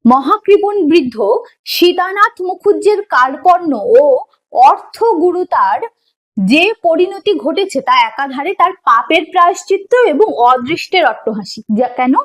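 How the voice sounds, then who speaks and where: A woman's voice: extremely high pitch, animated, moderate pace, rough recording. A woman teacher giving an explanatory online lesson in a didactic way, posing questions and answering them herself, and repeating key points. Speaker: teacher of an exam preparation course.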